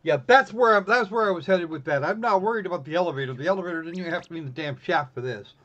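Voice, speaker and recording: A man's voice: high-pitched, racing, a bit bright, highs cut off. A man casually commenting live on a visual novel as a game streamer, with wry, rambling, sweary talk and some text read aloud.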